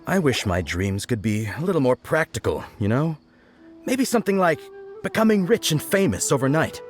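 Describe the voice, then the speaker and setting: A man's voice: fairly high pitch, very animated, moderate pace. An actor playing a wistful, daydreaming townsman in a video game.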